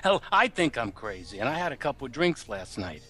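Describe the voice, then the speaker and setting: An actor playing an uneasy working-class chauffeur in a noir radio play, confiding in colloquial, hesitant speech. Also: male voice, medium pitch, very animated, a little fast, pushed and bright. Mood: nervous, reluctant.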